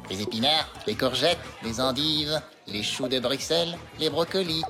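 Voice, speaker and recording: A male actor playing a snobbish, disdainful schoolboy in an animated comedy, haughty and deadpan. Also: medium pitch, normal speed, over music, natural voice. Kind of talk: animated children's comedy dialogue.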